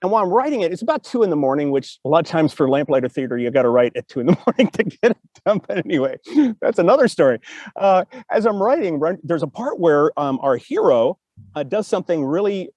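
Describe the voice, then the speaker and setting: A man's voice: on the high side, sing-song, fast talker, darkish tone, no room sound. A man hosting a casual conversation, telling personal stories in an earnest, emotive way. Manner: earnest and emotive, acts out remembered dialogue. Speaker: podcast host and audio drama writer.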